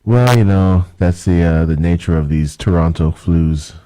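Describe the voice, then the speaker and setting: A man's voice: low-pitched, moderate pace, mellow, dry recording. A man co-hosting a casual radio chat, easygoing and joking. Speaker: radio co-host.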